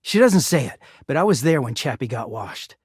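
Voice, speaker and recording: A man's voice: mid-range voice, big pitch swings, normal speed, breathy edge, no echo, studio quality. A man voicing a bitter, exasperated, sardonic, foul-mouthed young male living-laundry character in a video game. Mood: bitter, resentful. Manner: sardonic, foul-mouthed, swinging from muttering to shouting.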